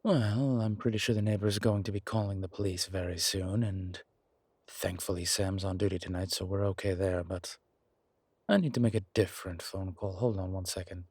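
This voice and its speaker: A man playing a commanding male incubus demon investigator in an audio roleplay, terse and colloquial, with a low, husky voice. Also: fairly even intonation, normal speed, darkish tone, no room sound. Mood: tense, hurried. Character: gruff, urgent male incubus demon investigator, protective, wryly friendly. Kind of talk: immersive audio roleplay acting.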